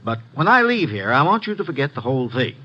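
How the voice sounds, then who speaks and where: An actor playing a wealthy, secretive loan company president who speaks hesitantly, with many pauses, in a 1950s radio drama. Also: male voice, mid-range voice, sing-song, pushed and bright. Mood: guarded. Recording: dull lo-fi old radio recording.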